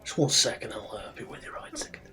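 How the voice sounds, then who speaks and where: A man playing a friendly, easygoing tavern barkeep in a soft-spoken, chatty fantasy ASMR roleplay. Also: fast talker, crowd noise, natural voice. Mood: welcoming, easygoing. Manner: informal, chatty, reassuring. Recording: soft background music and tavern ambience. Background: music, tavern ambience.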